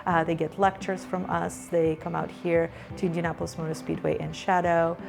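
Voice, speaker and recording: A woman's voice: fairly low pitch, little pitch movement, moderate pace, gravelly. A woman faculty mentor speaking proudly about her growing circle of trainees in an interview. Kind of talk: interview testimony. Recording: music bed underneath.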